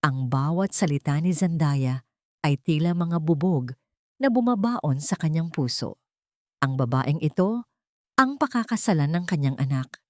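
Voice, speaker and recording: Lower-pitched woman narrating drama. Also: animated, a little slow, darkish tone, no room sound, clean studio sound. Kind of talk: dramatic scripted story narration.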